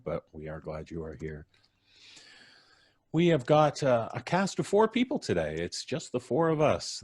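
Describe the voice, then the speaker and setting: A man from Canada hosting a casual live stream, introducing guests in a jokey, showy announcer style. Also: on the low side.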